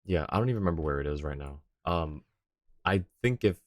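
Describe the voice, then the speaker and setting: A man's voice: very deep voice, expressive, a little fast, no echo. A man talking casually on a live gaming stream, rambling and thinking aloud as he goes. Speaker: game livestreamer.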